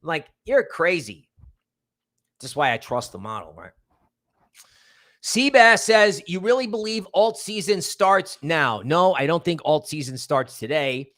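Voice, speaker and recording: A man's voice: a little high, very animated, unhurried, fairly bright tone. A man hosting a livestream in a casual, rambling, emphatic way, repeating words for stress. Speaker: livestream host. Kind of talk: casual livestream chat with viewer shout-outs and promotion.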